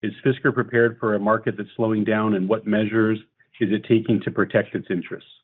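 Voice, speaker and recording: Lowish-voiced male moderator on phone line. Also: level tone, fairly quick, breathy edge. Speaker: call moderator reading questions. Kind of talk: reading investor questions on an earnings call. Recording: phone-like conference call line.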